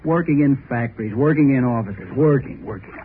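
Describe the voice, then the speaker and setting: An actor playing a carefree, playful young groom who jokes boisterously, in 1930s radio acting style. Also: male voice, mid-range voice, a little fast, lightly acted. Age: young adult. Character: carefree joking young groom, happy-go-lucky. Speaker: young bridegroom. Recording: tinny old radio recording with faint hiss.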